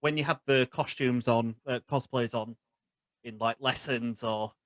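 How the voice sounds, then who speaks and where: A young man in his twenties hosting a casual interview, putting his questions in a halting, slightly stammering way. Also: medium pitch, steady tone, fairly bright tone, no room sound, clean studio sound. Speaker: podcast host, interviewer.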